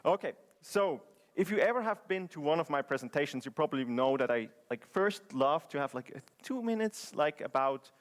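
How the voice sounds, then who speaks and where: A man's voice: fairly high pitch, animated, moderate pace. A man from Switzerland giving a conference presentation in English, chatty and joking, with many fillers and restarts. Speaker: conference speaker. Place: conference hall.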